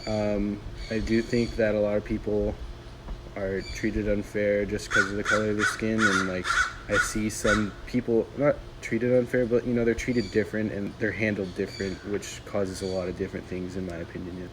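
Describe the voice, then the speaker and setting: A man's voice: on the low side, flat delivery, moderate pace, a bit bright, a little hiss or hum, low-quality recording. A young man answering interview questions in a plain-spoken way.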